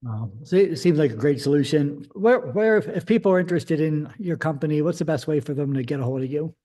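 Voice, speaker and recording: Mellow-voiced webinar host. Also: male voice, fairly high pitch. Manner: affirming, brief reactions, halting restarts. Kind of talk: live webinar interview.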